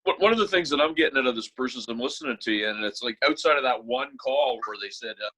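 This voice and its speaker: A man's voice: mid-range voice, animated, fast talker, sharp tone, low-quality recording. A man co-hosting a podcast, asking roundabout questions in casual, hesitant talk. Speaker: podcast co-host interviewer. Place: remote online call.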